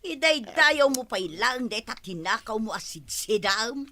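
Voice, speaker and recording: A woman's voice: a little high, expressive, measured pace, sharp tone. A woman playing a nagging, exasperated, disapproving village woman in an audio drama, with an Ilocano accent. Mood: scolding.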